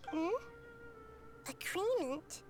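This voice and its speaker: A woman voicing a gentle, wise childlike goddess in a video game, thoughtful and reassuring in manner. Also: extremely high pitch, animated, drawn-out words, heavily acted. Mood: curious. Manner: soft-spoken, reassuring, thoughtful. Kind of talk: scripted video game voice acting.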